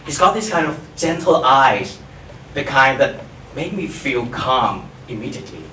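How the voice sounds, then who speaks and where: Man from Thailand, storyteller; unhurried, animated pitch, dry self-mocking wit; distant mic in a reverberant hall. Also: slight background noise. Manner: wry, self-mocking humor. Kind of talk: live stage storytelling.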